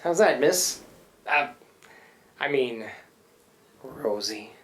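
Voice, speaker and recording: A man's voice: medium pitch, lively intonation, drawn-out words, a bit bright. A man acting a scruffy, good-hearted riverboat skipper in a live radio play, folksy and a little stammering, plucky and adoring. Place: live theatre performance in a reverberant room. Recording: echoey live theatre room.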